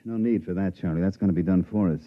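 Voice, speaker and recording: A man's voice: low voice, fast, close to an everyday voice. An actor playing a world-weary male swindler who narrates a 1950s radio play, with a drawling, sighing delivery. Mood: gloomy. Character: weary, wisecracking male swindler, middle-aged. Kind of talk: 1950s radio drama acting. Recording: dull vintage radio broadcast.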